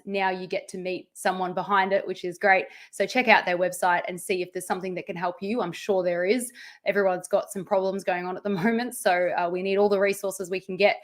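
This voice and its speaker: A woman's voice: medium pitch, a bit bright. A woman hosting a live stream over a video call, chatty and upbeat as she thanks guests and introduces the next speaker. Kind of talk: casual hosting chat.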